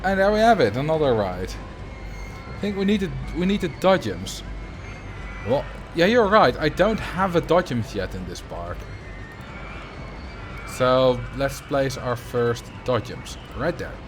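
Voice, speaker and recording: A man's voice: mid-range voice, sing-song, measured pace, noisy background. A man from the Netherlands with a Dutch accent, casually chatting with viewers and thinking aloud while he plays a building game on a livestream. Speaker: streamer.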